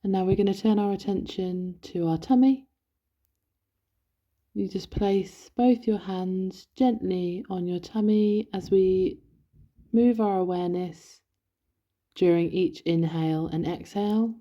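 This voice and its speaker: A woman leading a guided body scan meditation in a gentle, soothing, instructional manner. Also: medium pitch, unhurried. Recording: dull, lo-fi, roomy echo.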